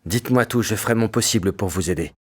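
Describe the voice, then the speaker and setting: A man's voice: on the low side, fast, close to an everyday voice. A man playing a gallant, naive young prince in a children's cartoon, theatrical, eager and courteous.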